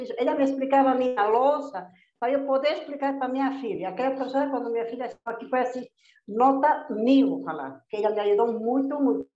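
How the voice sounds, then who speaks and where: A woman's voice: fairly high pitch, darkish tone, reverberant, muffled recording. A woman with a Spanish accent, a union director speaking earnestly and encouragingly in a casual radio chat. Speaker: domestic workers' union director.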